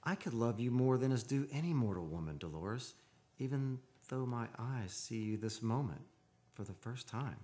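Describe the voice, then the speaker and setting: An actor playing an earnest, haunted man of seafaring stock in an old-time radio drama, speaking in a solemn, measured, poetic way. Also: mid-range voice, lively intonation, dark tone, close to an everyday voice. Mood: awed, halting. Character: earnest, haunted seafarers' descendant telling his eerie tale. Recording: live radio studio with music behind.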